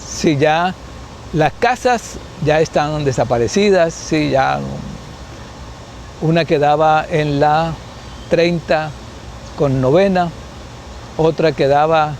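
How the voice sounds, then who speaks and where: An older man in his seventies from Colombia, a guest in a recorded interview. His voice sits a little high for a man, and he speaks unhurriedly, pausing and restarting as he thinks. A faint hum of background noise runs under the recording. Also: a little mellow, rough recording. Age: 70s. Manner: unhurried, thinking aloud with restarts and tag questions. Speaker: guest sociologist. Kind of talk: reminiscing interview answers.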